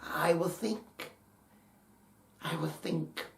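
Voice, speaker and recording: A man voicing a frail, loving old mother in a folktale, speaking with gentle, earnest, measured care. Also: on the high side, gravelly, a little hiss or hum, voice pushed up, full character voice. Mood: quiet pondering. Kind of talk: dramatic folktale storytelling. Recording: roomy, echoing space.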